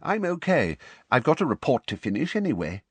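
A kindly, enthusiastic middle-aged wizard father, voiced by a man narrating an audiobook. He speaks brightly and encouragingly, noticeably higher than the narrator's own voice and with a lively lilt. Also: brisk, husky, dry recording. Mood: bracing. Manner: bracing, enthusiastic. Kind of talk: audiobook character voice.

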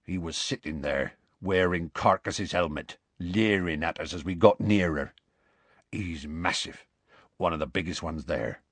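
Gruff, rustic big fellow. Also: male voice, sits low, measured pace, no room sound. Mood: grim storytelling. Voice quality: gruff, growly. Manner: colloquial, rambling, dropped consonants. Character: big gruff rustic man, warm-hearted, indignant storyteller.

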